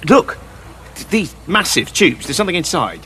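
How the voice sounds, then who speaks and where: An actor playing an anxious, easily startled soldier in a sci-fi audio drama, full of hesitant, alarmed questions. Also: male voice, high-pitched, big pitch swings, moderate pace, pushed and bright, slight background noise, exaggerated voice. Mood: startled, uneasy. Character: anxious, startled young male soldier companion.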